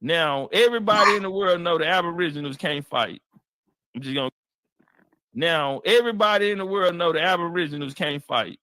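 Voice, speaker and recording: A man's voice: fairly high pitch, lively intonation, a little fast, bright, forceful tone, no echo, rough recording. A man ranting casually and bluntly, repeating himself and stalling with "uh". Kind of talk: casual rant.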